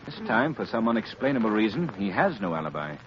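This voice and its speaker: An actor playing a composed, worldly young gentleman sleuth, assured and articulate, in a 1930s radio drama. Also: on the low side, very animated, fast talker. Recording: muffled 1930s radio, crowd murmur.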